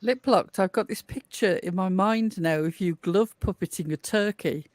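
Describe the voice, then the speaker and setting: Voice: medium pitch, normal speed, darkish tone, no echo. A co-host of a casual group chat show, speaking in a friendly, earnest way. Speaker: podcast co-host.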